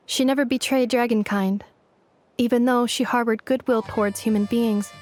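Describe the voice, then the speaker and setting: Actress doing a calm formal young woman from a game, highish voice, matter of fact, soft music behind. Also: lightly acted. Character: calm, literal-minded young female with machine-like memory. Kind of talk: video game voice acting.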